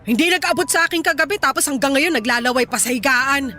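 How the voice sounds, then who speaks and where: A woman playing a scolding middle-aged working-class Filipino mother in a radio drama, sharp and exclamatory. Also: high-pitched, quick pace, soft background music. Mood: complaining.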